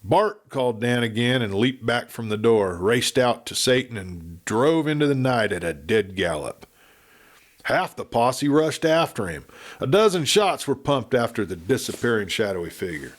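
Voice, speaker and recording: A man narrating a Western audiobook with expressive, dramatic storytelling. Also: medium pitch, moderate pace.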